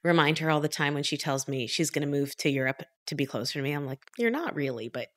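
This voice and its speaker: A woman from Miami, an author in her thirties or forties, telling her life story as a podcast guest, animated and quick to laugh. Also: low voice. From Miami, United States. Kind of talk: casual interview storytelling.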